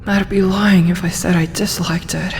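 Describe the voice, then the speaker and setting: A woman voicing a proud female dragon who turns shy and flustered in a hesitant ASMR roleplay, often slipping into breathy whispers. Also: fairly low pitch, steady tone, moderate pace, in a large hall, a little hiss or hum, lightly acted, poor audio. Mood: guarded, teasing.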